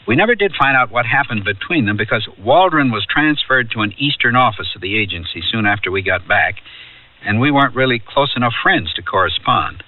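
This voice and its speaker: A man's voice: fairly low pitch, no room sound, distant mic, close to an everyday voice. An actor playing a wistful ordinary man who looks back on a youthful summer holiday in reflective, conversational radio drama narration. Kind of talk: 1970s radio drama first-person narration. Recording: muffled radio drama tape, music behind.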